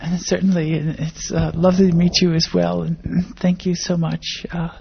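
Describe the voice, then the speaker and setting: Older american woman getting interviewed, gravelly and flat pitched, thinks as she talks, kinda muffled recording. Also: moderate pace, a little hiss or hum. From grew up in Indonesia and the Philippines, American-born. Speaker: interviewee, academic biologist. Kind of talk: conversational interview answers.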